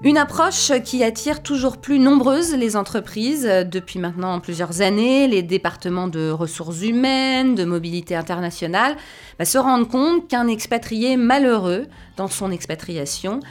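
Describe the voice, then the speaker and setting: A woman's voice: fairly high pitch, normal speed, faint music behind. A woman hosting a radio interview, asking probing questions and narrating a short report. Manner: engaged, asks probing questions. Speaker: radio host.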